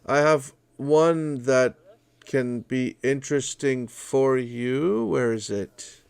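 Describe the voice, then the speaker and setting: A man teaching at a college, walking through a software demo step by step in an online presentation over a video call. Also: mid-range voice, slow pace, vocal fry, smooth, no echo. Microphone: video call microphone.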